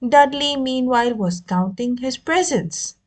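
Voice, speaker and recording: A woman reading a children's novel aloud as an expressive narrator. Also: high voice, unhurried. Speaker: audiobook reader. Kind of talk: expressive scripted story narration.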